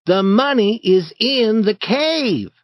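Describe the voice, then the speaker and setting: A man voicing a bold, eager boy who leads an adventure, in a raised, boyish pitch with lively storybook acting. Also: a little slow, no echo, exaggerated voice. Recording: dry, slightly dull recording.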